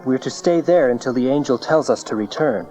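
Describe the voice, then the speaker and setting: A man's voice: medium pitch, a little fast, dark tone, natural voice. A man playing an earnest, protective husband and father in a dramatized audio Bible, plain-spoken and urgent. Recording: dull cassette, music behind.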